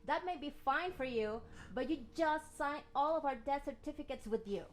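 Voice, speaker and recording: A woman playing a sharp, anxious scientist under siege in an amateur radio play, earnest and dramatic. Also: sits high, moderate pace, natural voice. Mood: reproachful. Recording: echoey room, music behind.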